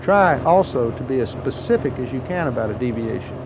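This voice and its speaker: A dull, muffled tape with clear noise carries a man's voice. He narrates a scripted training lesson for pilots in a plain-spoken, explanatory way. His pitch sits in the middle of the male range. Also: animated, fairly quick.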